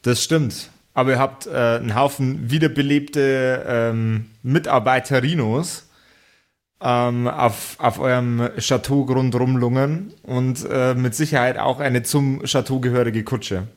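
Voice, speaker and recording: A man narrating an improvised tabletop game as game master, in a deliberate way with pauses. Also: mid-range voice, steady tone. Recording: music under the voice. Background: music.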